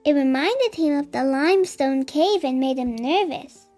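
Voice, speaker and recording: A woman reading a children's story aloud in an animated, deliberate storytelling manner. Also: sits high, over music. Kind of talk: expressive read-aloud narration for children.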